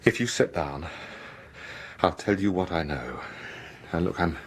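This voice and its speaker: An actor playing a frightened, haunted British gentleman scientist in a radio horror drama, halting and stammering with a breathy voice. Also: low voice, lively intonation, heavily acted. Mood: desperate. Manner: halting, stammering, repeating words in dread.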